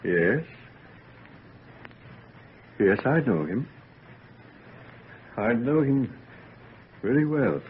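An obsessed young male scientist in a vintage radio play, heard through a tinny, narrow recording with audible noise. He speaks slowly and haltingly, with theatrical repetition and a feverish pride beneath his shaken weakness. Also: medium pitch, very animated. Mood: halting, uneasy. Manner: halting, repeating phrases, theatrical. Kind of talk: old-time radio drama acting.

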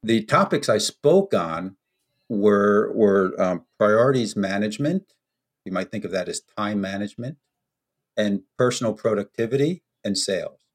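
An American man, a podcast guest, telling stories in an unhurried, anecdotal way with frequent ums. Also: on the low side, lively intonation. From United States. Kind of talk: storytelling in a podcast interview.

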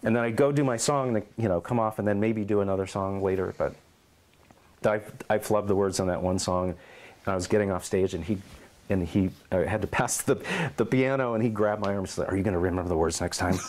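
A middle-aged man in an oral history interview at his home, telling lively stories and acting out quotes. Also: on the low side, brisk. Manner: animated storyteller, acts out quotes and meows.

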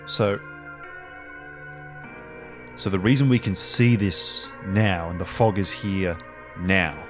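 A man playing in a tabletop roleplay, improvising casually and haltingly as he thinks aloud. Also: low-pitched, a bit bright. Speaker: player in a tabletop roleplay show. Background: music.

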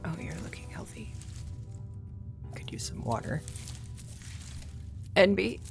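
Sci-fi audio drama: tender female plant keeper, lowish and gravelly, musing to herself. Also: lively intonation, slow, music in the background, full character voice. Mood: tender, curious. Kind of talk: naturalistic audio drama acting.

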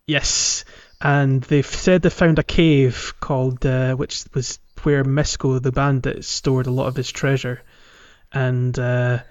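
Guy on a gaming podcast explaining stuff casually, kinda hesitant, average pitch, dull lo-fi audio. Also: level tone, takes it slowly, clean tone. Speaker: podcast co-host. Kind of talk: casual video game chat, explaining quests. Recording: dull, lo-fi sound.

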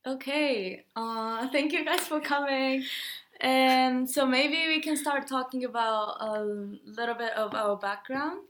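A woman's voice: sits high, steady tone, slow pace, fairly bright tone, a little hiss or hum. A young woman from Japan hosting a casual student podcast, friendly, with frequent fillers and sentences that trail off. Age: young adult. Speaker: podcast host.

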